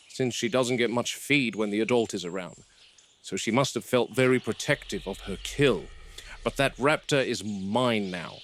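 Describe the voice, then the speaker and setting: A man playing a calm, commanding veteran game warden in found-footage horror, speaking in measured, terse, authoritative phrases. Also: medium pitch, slight background noise, lightly acted. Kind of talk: found-footage horror film acting and log narration. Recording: VHS-style found footage, music underneath.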